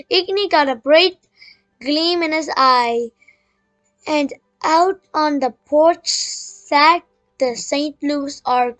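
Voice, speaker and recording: A high, female-sounding young reader reading a children's book aloud haltingly, with chatty asides. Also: fairly bright tone, low-quality recording. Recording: music playing behind.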